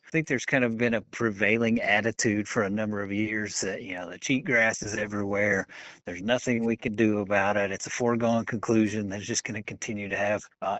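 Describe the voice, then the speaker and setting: A man with a lowish voice explains things as an interviewed university expert. The sound is very dry, with no room echo, and his delivery is that of someone answering in his own words. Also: a bit bright, muffled recording. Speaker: university institute director, interviewee.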